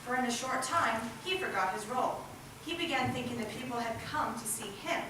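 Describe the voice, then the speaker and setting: A woman's voice: a little high, fairly quick. A woman narrating a scripted story as part of a readers' theatre group. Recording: echoey hall, distant mic, loud noise.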